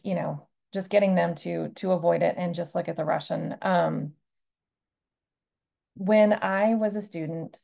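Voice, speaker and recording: A woman in her forties, a Russian teacher on an online panel, speaking in a lively, animated way over a video call. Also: lowish voice, gravelly. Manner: lively, gestures with hands while talking. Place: home room, video call.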